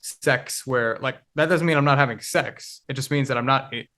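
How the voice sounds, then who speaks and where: A man in his twenties, a podcast guest, talking casually in a reflective, halting way as he searches for words. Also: medium pitch, pushed and bright. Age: 20s. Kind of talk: casual conversation.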